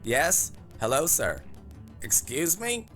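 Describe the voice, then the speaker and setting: A man voicing an officious, well-groomed government interrogator in a suit, with a brisk, clipped, bureaucratic manner, in an audiobook. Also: fairly high pitch, huge pitch swings, fairly bright tone, soft background music, pitched above the performer's own voice, heavily acted. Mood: exasperated.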